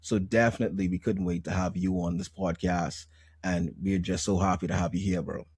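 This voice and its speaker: A man's voice: deep voice, steady tone, brisk, a little mellow, husky, no echo, clean studio sound. A man hosting a casual podcast, asking laid-back, slangy questions. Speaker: podcast host.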